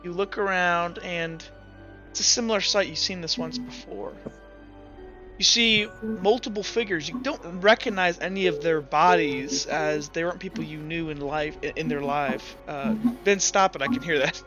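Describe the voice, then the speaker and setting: Male game master, notably high voice, improvised narration and rules chat, slightly muffled with faint music behind. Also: moderate pace. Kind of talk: improvised tabletop game narration and table talk.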